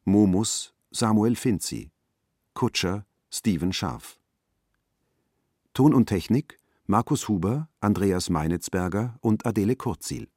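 A man's voice: fairly low pitch, expressive, slow, mellow, breathy edge, no echo, studio quality. A man reading out scripted programme credits as an announcer.

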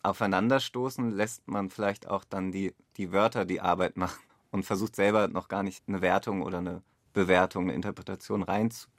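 A man's voice: low-pitched, fairly even intonation, a little fast, dry recording, studio quality. A man speaking as a director in an interview, tentatively thinking aloud. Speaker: radio play director. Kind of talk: reflective interview talk.

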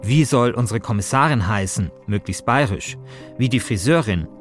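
A man's voice: fairly low pitch, expressive, moderate pace, soft background music. A man hosting a podcast, reading scripted narration in a conversational way. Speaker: host and narrator.

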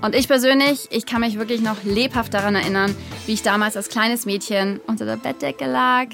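An actress playing a gushing, playful young podcast host in a chatty studio interview within an audio drama. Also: female voice, fairly high pitch, brisk, creaky, fairly bright tone, faint music behind. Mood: excited, nostalgic.

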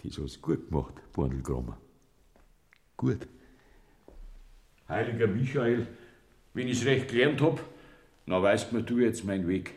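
An actor playing an old farmer in a radio play, with a Bavarian accent and an earthy, resigned manner. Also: male voice, on the low side, lively intonation, unhurried, pauses often, a little mellow. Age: older.

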